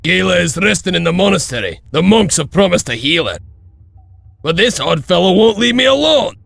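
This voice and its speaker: A male actor playing a rough, excitable, loyal wolf-clan warrior in a video game, with a raspy, theatrical voice. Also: high-pitched, moderate pace, no echo, full character voice. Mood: reassuring. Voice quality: raspy, gravelly. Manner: boisterous, theatrical. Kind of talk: dramatic video game acting.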